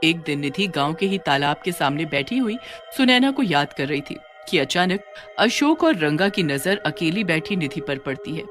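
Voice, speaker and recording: A woman narrating a scripted cartoon story as an expressive storyteller. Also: low voice, normal speed, faint music behind.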